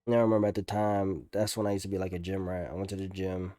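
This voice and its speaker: A young man talking casually over gameplay as a streamer, candid and slangy, in a halting way. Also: low-pitched, level tone, dark tone, a little hiss or hum. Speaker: gaming streamer.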